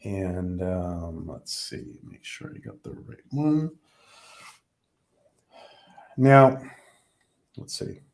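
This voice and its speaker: A man hosting a casual livestream who is losing his voice. He talks haltingly, with many ums and restarts. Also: sits low, expressive, creaky, a little mellow. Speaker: livestream host.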